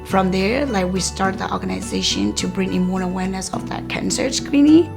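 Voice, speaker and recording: Creaky-voiced woman, Karenni native speaker. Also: mid-range voice, music in the background. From Myanmar. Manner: measured, with frequent "um" and "like" pauses. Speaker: community health worker and program manager. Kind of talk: interview.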